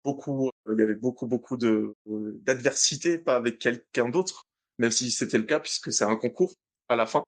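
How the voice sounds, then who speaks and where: A man in his late twenties with a French accent, a podcast guest telling his own story in a relaxed way, often restarting his sentences. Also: mid-range voice, normal speed. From France, born in Madagascar. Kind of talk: interview.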